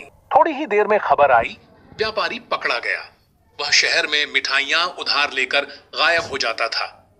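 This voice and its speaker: A man narrating a scripted moral story as a storyteller, in a measured delivery. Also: fairly high pitch, sharp tone.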